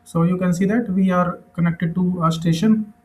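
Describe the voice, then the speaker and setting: Echoey room, man, Indian accent, slightly high-pitched, halting tutorial narration, repetitive explanations. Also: steady tone, noisy background. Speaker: presenter. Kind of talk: screen-recorded tutorial narration.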